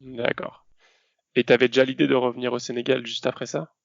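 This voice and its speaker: A man's voice: mid-range voice, little pitch movement, brisk, gravelly, lo-fi sound. A man hosting a podcast, putting questions to his guest in a conversational way. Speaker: podcast host. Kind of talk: conversational interviewing.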